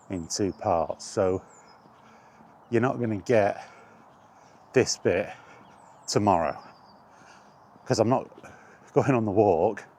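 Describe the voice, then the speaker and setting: A man with a low, mellow voice rambles casually while out on a walk. He thinks aloud in short, unhurried phrases as he hosts his vlog, with some outdoor noise around him. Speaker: host of a walk-and-talk retro gaming vlog.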